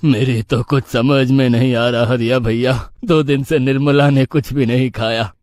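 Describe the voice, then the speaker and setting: A man's voice: medium pitch, darkish tone, no echo, studio quality, close to an everyday voice. A man playing a poor, humble old village farmer in a cartoon story, pleading and lamenting in despair. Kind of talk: Hindi cartoon moral story dialogue.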